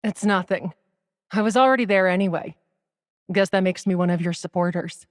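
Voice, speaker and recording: An actress playing a shrewd, cynical young woman in a dramatic, animated video game cutscene style. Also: lowish voice, moderate pace, long reverb tail, natural voice. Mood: subdued, sympathetic.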